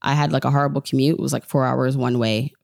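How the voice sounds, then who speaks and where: A woman's voice: rumbling low, steady tone, fast talker, creaky, darkish tone, no room sound. Chatty New woman, close dry sound. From born in Jamaica, grew up in New York. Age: 30s. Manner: chatty, informal storytelling with quoted self-talk. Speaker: podcast guest, personal finance podcaster. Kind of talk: casual conversational interview.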